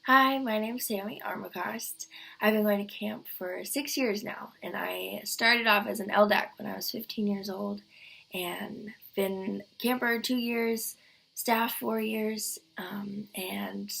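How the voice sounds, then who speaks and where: A young woman introducing herself slowly and heartfelt, partly whispered. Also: medium pitch, fairly even intonation, vocal fry, fairly bright tone, rough recording. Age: young adult. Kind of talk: casual self-introduction.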